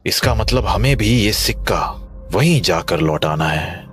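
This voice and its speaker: An actor playing a calm, thoughtful man who explains things in measured horror story dialogue. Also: sits low. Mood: calm reasoning. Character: calm, thoughtful young man working out a mystery. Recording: faint music behind the voice.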